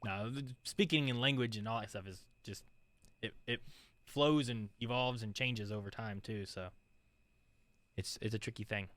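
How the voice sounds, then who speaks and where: Bright-voiced man musing on a podcast. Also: fairly low pitch, normal speed, dry recording. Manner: rambling, curious, many restarts and fillers. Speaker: podcast co-host. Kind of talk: casual chat. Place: studio.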